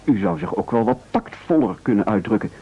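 A man's voice: fairly low pitch, expressive, fairly quick, husky. An actor in a radio play playing a stubborn, self-pitying little man, fussy and stammering as he protests. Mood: fussy, indignant. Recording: dull, lo-fi radio play recording.

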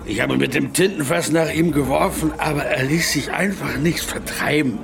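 An actor playing a shaken, boozy, superstitious reformer who stammers in agitation, in a radio drama. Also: male voice, fairly high pitch, moderate pace, vocal fry, a crowd in the background. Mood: shaken, insistent. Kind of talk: German radio drama acting. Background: music.

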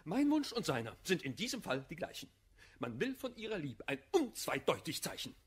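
An actor playing a proud, jealous suitor in a classical verse radio comedy, formal, declamatory and demanding. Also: male voice, on the high side, wildly swooping pitch, normal speed, husky, full character voice.

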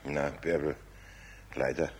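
A man's voice: extremely low pitch, fairly even intonation, slow pace, background noise. An actor playing a good-natured older master craftsman in a children's radio play, with a Bavarian accent and a coaxing, patient but exasperated manner. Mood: apologetic, gentle. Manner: colloquial, coaxing, grandfatherly.

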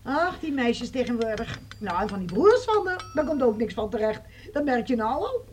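Brief: actress as a gossipy housewife, high and very lively voice, a bit off mic with faint hiss. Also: female voice, moderate pace, far from the mic. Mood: gossiping. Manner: gossipy, fussing, complaining about others. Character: chatty gossiping middle-aged housewife. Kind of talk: radio play acting.

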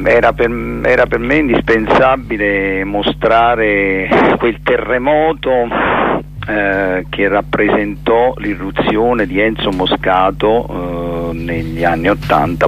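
A middle-aged man, speaking reflectively and haltingly as a theatre director interviewed over a telephone line. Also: sits low, fairly bright tone. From Naples, Italy. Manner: reflective, halting, repeats and rephrases words while searching. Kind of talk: spontaneous phone interview. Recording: telephone line with faint hiss and hum.

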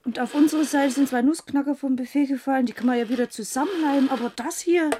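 A woman's voice: sits high, fast talker, dark tone, natural voice. An actress in a radio play playing a timid, flustered female night porter who stammers and hesitates. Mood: uneasy musing. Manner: stammering, hesitant, easily startled. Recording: noisy radio play recording.

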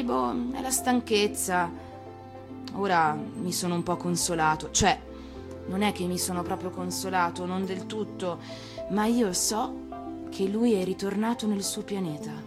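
Woman narrating a tale over music. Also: lowish voice. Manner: hesitant, emotive, pausing between short phrases. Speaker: actress reading a fairy tale. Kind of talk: dramatic first-person story narration.

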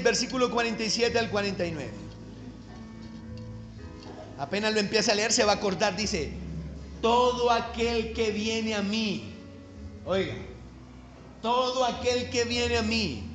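Pastor from Colombia's Caribbean coast, very high ringing voice, emphatic sermon delivery in an echoey church hall. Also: male voice, bright, forceful tone. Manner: emphatic, slows down to stress key phrases, calls for congregation response.

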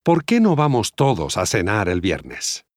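A man acting a friendly conversational part in a scripted language-course dialogue, enunciating clearly. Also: lowish voice, huge pitch swings, measured pace, dry recording, clean studio sound.

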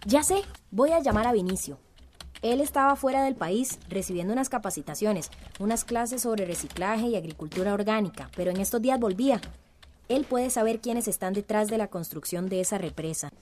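A woman's voice: mid-range voice, lively intonation, a little fast, a little mellow, slight background noise, close to an everyday voice. A woman playing an earnest, organized village activist in a radio drama, speaking emphatic Central American Spanish. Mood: explaining.

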